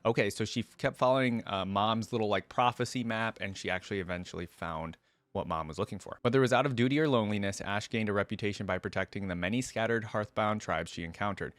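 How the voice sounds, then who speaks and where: Guy with a lowish voice doing casual reaction commentary, kinda thinking out loud, really dry sound no room echo. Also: quick pace. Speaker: reaction video host. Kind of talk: casual reaction commentary mixed with reading text aloud.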